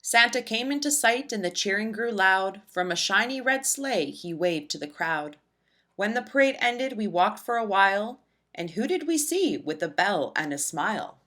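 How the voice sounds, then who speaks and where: A woman narrating a rhyming children's storybook in an expressive, deliberate storytelling manner for young listeners. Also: mid-range voice. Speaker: children's book read-aloud narrator.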